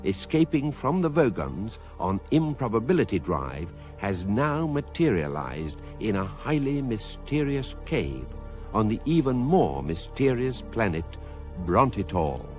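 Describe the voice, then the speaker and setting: An actor with a British accent playing a calm, dry narrator of an electronic guidebook in a radio drama, deadpan and mock-scholarly. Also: male voice, on the low side, measured pace, faint music behind. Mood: dry, measured.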